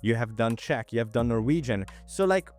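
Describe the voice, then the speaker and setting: A man's voice: medium pitch, animated, moderate pace, a little mellow, faint music behind. A man co-hosting an interview, asking loose, rambling questions full of fillers. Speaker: co-host. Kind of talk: casual interview chat.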